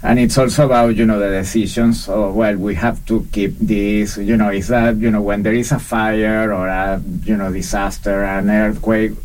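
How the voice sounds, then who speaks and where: A man from Venezuela, a guest in an interview, who answers with many fillers, pauses and restarts. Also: fairly low pitch, flat delivery, darkish tone. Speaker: guest, documentary researcher and professor. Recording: slightly distant mic with faint background noise.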